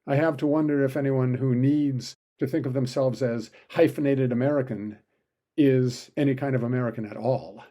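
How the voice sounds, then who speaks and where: A man's voice: mid-range voice, fairly even intonation, normal speed, mellow. A man delivering an opinion commentary monologue, full of sardonic, sarcastic asides.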